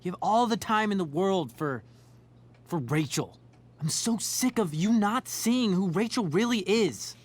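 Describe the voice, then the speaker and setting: An actor voicing a jealous, worried teenage boy in a video game, pleading and accusing in an emphatic, drawn-out way. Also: high voice, animated. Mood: hurt, agitated.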